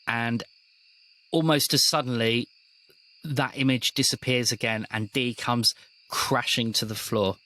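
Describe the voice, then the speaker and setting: A man narrating a tabletop roleplaying game as game master, deliberate and given to dramatic pauses. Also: mid-range voice, fairly even intonation, pushed and bright, low-quality recording.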